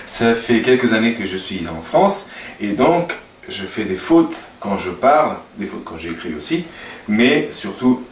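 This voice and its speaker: A man's voice: lowish voice, big pitch swings, measured pace. A man with a Portuguese accent speaking French as a second language, introducing himself informally and hesitantly, searching for his words. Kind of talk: informal unscripted introduction to camera. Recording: roomy echo, distant mic, faint noise.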